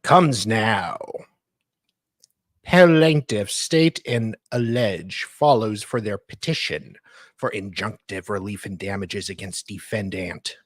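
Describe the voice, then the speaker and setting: A man co-hosting a talk stream, reading court documents formally in a measured, deliberate way, with some casual chat. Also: lowish voice, no room sound. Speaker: co-host and reader of legal documents.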